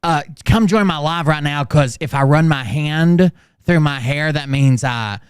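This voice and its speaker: A man's voice: on the high side, unhurried, clear, resonant tone, no echo, studio quality. A man commenting sarcastically on livestream clips, mocking and swearing freely. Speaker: commentary host. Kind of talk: reaction commentary.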